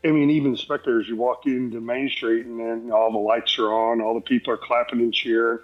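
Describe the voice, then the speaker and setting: A man's voice: medium pitch, level tone, a little fast. A dull, lo-fi recording of a man with faint music behind him. He is a podcast guest telling casual anecdotes, folksy and rambling, with a self-deprecating streak.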